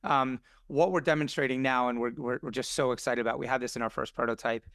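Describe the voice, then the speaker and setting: A man talking casually on a podcast in an effusive, earnest and animated way. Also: mid-range voice, fast, clean tone, no echo, studio quality.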